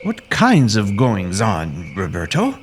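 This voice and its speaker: A man playing a brave, earnest gentleman uncle in an audio drama, with a theatrical manner. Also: lowish voice, huge pitch swings, takes it slowly, noisy background, exaggerated voice. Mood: concerned, reassuring. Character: brave, reassuring English gentleman uncle searching for nephew.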